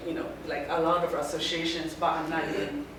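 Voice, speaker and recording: A middle-aged woman from Taiwan giving an informal talk to a student group, speaking in halting phrases that often restart. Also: sits low, level tone. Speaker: guest speaker. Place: lecture room. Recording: very echoey room, mic far off, background noise.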